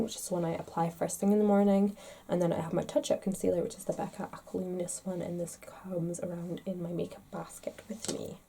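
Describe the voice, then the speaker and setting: A woman's voice: fairly low pitch, mellow. Stuffed-up woman chatting about makeup. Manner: chatty and rambling, self-deprecating. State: congested, stuffed-up nose. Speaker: makeup vlogger. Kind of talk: casual chat to camera.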